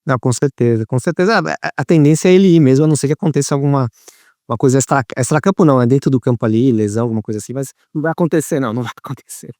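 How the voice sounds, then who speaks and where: A man's voice: mid-range voice, racing, darkish tone, no room sound, clean studio sound. A man hosting an interview, talking in a chatty way and restarting his phrases often. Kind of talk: interview questions, casual chat.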